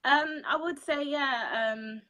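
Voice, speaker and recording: Bright-voiced young woman on a call. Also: sits high. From London, UK. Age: 20s. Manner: measured, frequent ums. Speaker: interview guest. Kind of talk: answering interview questions. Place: indoor room. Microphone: video call.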